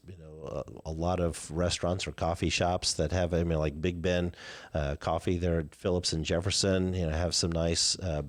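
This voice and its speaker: A man in his fifties with a Midwestern American accent and a very deep, low voice. He answers residents' questions as a city mayor in a relaxed Q&A. He talks haltingly, filling gaps with "uh" and "um.". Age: 50s. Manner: halting, many "uh" and "um" fillers. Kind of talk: live call-in Q&A.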